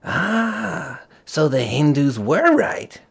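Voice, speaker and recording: A bewildered middle-aged man in a storybook, as a male reader puts him on. He is breathy and slow, raised in pitch, hesitant and anxious as he questions. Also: big pitch swings, full character voice. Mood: puzzled, questioning. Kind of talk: storybook character voice.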